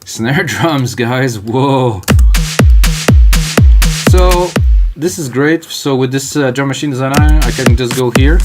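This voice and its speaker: A man's voice: mid-range voice, slow pace, pauses often. Middle-aged French Canadian man, producer type, casual and rambling, heavy electronic music under the voice. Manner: rambling, thinks aloud, casual with frequent fillers. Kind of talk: live music production tutorial. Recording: loud electronic music playing behind. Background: loud techno music and keyboard typing.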